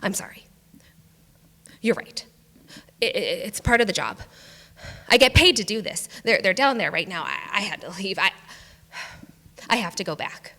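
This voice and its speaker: An actress playing a weary, foul-mouthed small-island sheriff in a staged reading, stammering and halting in exasperation. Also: female voice, mid-range voice, animated, pushed and bright. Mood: apologetic, overwhelmed. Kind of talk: live staged play reading. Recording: echoey theatre hall, live stage.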